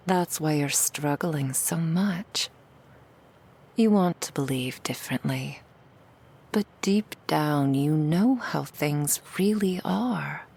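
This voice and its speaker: A woman voicing a seductive, cruel female demon in an ASMR roleplay, in a slow, breathy whisper full of mocking, intimate taunts. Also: low voice, darkish tone, full character voice.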